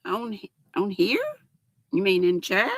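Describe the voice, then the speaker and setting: A woman's voice: medium pitch, steady tone, slow pace, dry recording, studio quality. An older woman hosting a casual livestream, chatting folksily and giggling as she reads viewer comments aloud. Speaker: livestream host.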